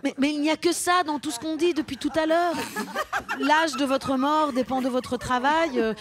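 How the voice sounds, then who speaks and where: Actress as an earnest, halting single mother. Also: high voice, a crowd in the background, close to an everyday voice. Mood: impassioned. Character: earnest, indignant single mother defending her unpaid work. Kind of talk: radio drama, naturalistic speech.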